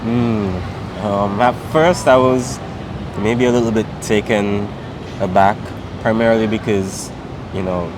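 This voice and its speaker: A young man with a fairly low voice talks in a loose, unhurried way, full of fillers. He is recorded outdoors on a city street. Street and traffic noise is clearly heard behind him. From Saint Vincent and the Grenadines. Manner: relaxed, many fillers like "um" and "like". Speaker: interviewee. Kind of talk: casual street interview.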